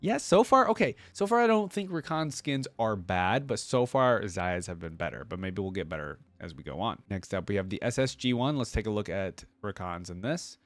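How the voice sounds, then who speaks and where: A man's voice: lowish voice, big pitch swings, normal speed, few pauses, dry recording. A man hosting a reaction video with casual, informal commentary, thinking aloud as he goes.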